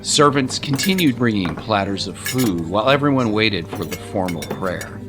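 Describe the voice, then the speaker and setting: A man narrating a scripted story in a measured, literary and expressive dramatic style. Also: on the low side, music in the background.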